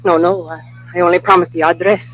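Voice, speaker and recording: A woman's voice: lowish voice, fairly even intonation, fast talker, fairly bright tone, soft background music, lightly acted, poor audio. A woman playing a furtive, frightened informant, in old-time radio style on a vintage recording. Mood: furtive, fearful. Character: furtive, frightened female informant named Mira.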